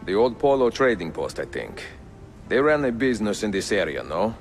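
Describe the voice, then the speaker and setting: An actor playing an older Italian master assassin, courteous and grave, with an Italian accent and measured, formal delivery in a video game. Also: male voice, fairly low pitch, very animated, faint music behind. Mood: calm, purposeful. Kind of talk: cinematic video game acting.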